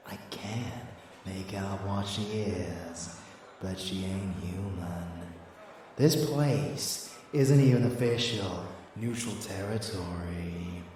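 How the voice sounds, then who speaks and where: A man's voice: lowish voice, slow pace, mellow, very echoey, recorded from a distance, a little hiss or hum. A man playing a rebellious vampire lover in an intimate ASMR audio drama, teasing, boastful and doting, with menace underneath. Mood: musing.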